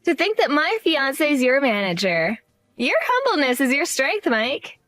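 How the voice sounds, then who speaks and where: A woman's voice: sits high, sing-song, measured pace, fairly bright tone, exaggerated voice. A woman voicing a cheerful but condescending young bride-to-be in a story drama, speaking in a bubbly, patronizing way.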